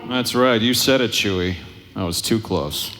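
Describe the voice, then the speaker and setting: An actor playing a cocky, irritable smuggler captain in a live audio drama, with a brash, wisecracking, sarcastic manner. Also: male voice, lowish voice, unhurried, a bit bright. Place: convention stage before a live audience. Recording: live stage sound with background noise.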